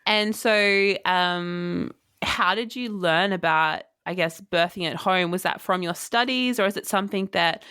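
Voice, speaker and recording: A woman's voice: fairly low pitch, measured pace, sharp tone, smooth. Upbeat woman podcast host. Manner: enthusiastic, many fillers and "yeah". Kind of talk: scripted intro then casual interview.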